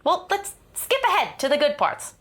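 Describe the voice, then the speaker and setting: Woman acting pushy doting mom in an audio play, high excitable voice, bossy but coaxing. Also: moderate pace. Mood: curious, prodding. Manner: bossy and coaxing, with animated exclamations. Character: pushy, doting, excitable mother of an aspiring actress. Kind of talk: naturalistic audio drama acting.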